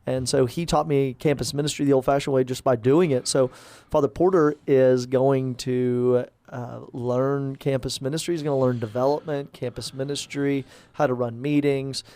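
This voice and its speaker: A man's voice: medium pitch, fairly even intonation, normal speed, a little mellow, no room sound. A man, a parish priest chatting casually on a podcast, rambling with lots of fillers and restarts.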